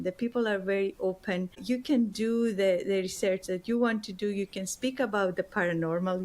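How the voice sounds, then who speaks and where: A woman from Greece with a Greek accent, an author answering interview questions in an earnest, heartfelt way and often repeating words as she searches for them. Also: mid-range voice. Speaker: guest author and spiritual teacher. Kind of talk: interview answers, personal story.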